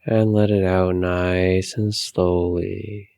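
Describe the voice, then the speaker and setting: Slow, low-voiced man guiding meditation. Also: creaky. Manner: calm, soothing, unhurried instruction with long pauses. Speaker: meditation guide. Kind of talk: guided meditation.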